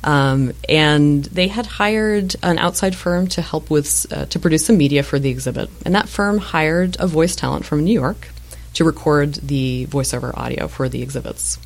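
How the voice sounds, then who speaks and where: Woman, 30s, low range, gravelly fry, thoughtful and chatty guest. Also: moderate pace. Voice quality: low-pitched voice. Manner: thoughtful, frequent fillers and restarts. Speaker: museum voice-over artist and guest. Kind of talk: casual interview answers.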